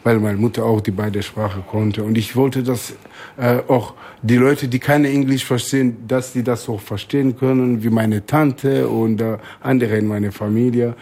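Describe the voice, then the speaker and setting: A man from East Africa, a guest musician in a radio interview, speaking German as a learned language, halting and searching for words. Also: medium pitch, steady tone, darkish tone. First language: not German.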